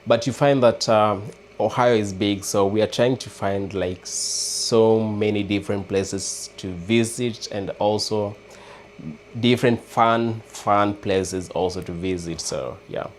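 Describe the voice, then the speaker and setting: A man with a Kenyan accent chatting casually with viewers on a livestream, hesitant and halting, often restarting words. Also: fairly low pitch, fairly even intonation, slight background noise. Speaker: livestream host. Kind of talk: casual live chat with viewers.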